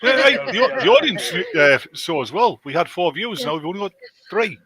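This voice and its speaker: Lively, slightly high-voiced man riffing. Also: fairly quick, crowd noise. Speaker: co-host heckling an old radio show. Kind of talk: casual comic riffing. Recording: other voices talking underneath. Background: old radio drama playing.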